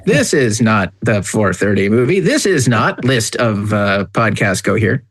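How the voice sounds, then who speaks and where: A man's voice: fairly low pitch, very animated, a little slow, husky. A man co-hosting a podcast, bantering casually with mock-dramatic jokes. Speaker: podcast co-host.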